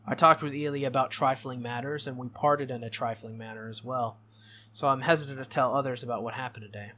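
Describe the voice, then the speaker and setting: A man's voice: mid-range voice, fairly even intonation, brisk, pushed and bright. A man narrating a visual novel aloud as a gaming video host, in a read-through style.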